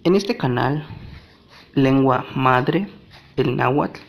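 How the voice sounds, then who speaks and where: A man from Mexico whose first language is Nahuatl, reading a language lesson in a measured, deliberate way. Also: medium pitch, gravelly, lo-fi sound, noisy background. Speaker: language teacher.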